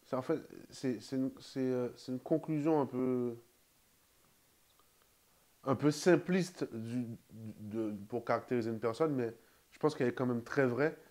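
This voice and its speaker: A man's voice: medium pitch, unhurried, a little mellow. A man in casual conversation, reflective and hesitant, restarting his sentences often.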